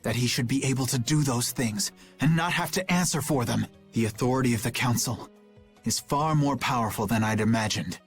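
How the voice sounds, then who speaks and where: A man plays an honorable young knight captain in a video game. His medium-pitched voice is formal and grave, with faint music underneath. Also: brisk, natural voice. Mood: troubled, grave. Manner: formal, solemn, sincere. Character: earnest, principled young male knight captain.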